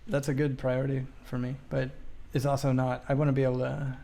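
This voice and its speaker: A man giving casual livestream commentary as he plays a game, answering questions in a thoughtful, halting, reflective way. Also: medium pitch, flat delivery, gravelly, mellow. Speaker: game streamer.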